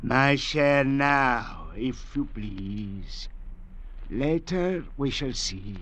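An actor playing a bitter, desperate castaway with deliberate, mock-courteous, menacing delivery in 1940s radio drama style. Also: male voice, mid-range voice, fairly bright tone. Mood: mockingly polite. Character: bitter, desperate male castaway, mockingly polite. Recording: noisy vintage radio recording.

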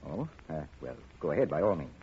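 A man's voice: rumbling low, big pitch swings, quick pace, slightly rough, full character voice. An actor playing an indulgent, hesitant older father who fusses and repeats himself in a radio drama. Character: indulgent, flustered older father, a retired banker. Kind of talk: 1950s radio drama acting. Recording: muffled, noisy old radio recording.

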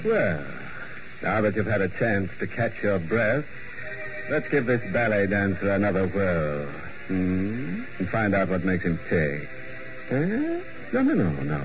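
A man's voice: on the low side, sing-song, unhurried. A man hosting a 1940s radio mystery show in a sly, mocking manner full of grim jokes. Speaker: program host and announcer. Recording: muffled old radio broadcast with faint hiss.

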